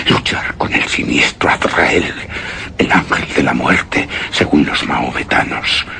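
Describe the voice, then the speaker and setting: An actor playing a grieving, obsessed widower in a suspense and horror radio drama, with a solemn, halting, brooding delivery that drops into whispers. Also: male voice, low voice, pushed and bright, slight background noise, heavily acted. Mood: anguished dread. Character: grieving, obsessed widower recounting his beloved's death. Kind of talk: Spanish-language suspense and horror radio drama, theatrical monologue.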